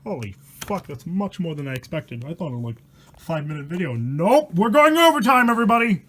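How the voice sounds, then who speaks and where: Guy w a high voice reading creepy rhymes out loud, dramatic, sweary, kinda stumbles on words. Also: big pitch swings, fairly quick. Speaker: amateur storyteller and host. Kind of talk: reading a rhyming horror story aloud with casual asides.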